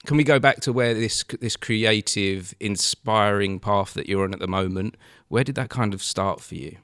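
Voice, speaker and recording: A man in his thirties hosting a podcast, encouraging his guest with short affirmations and gentle questions. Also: fairly low pitch, moderate pace, no room sound, studio quality. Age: 30s. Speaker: podcast host. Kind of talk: casual interview chat.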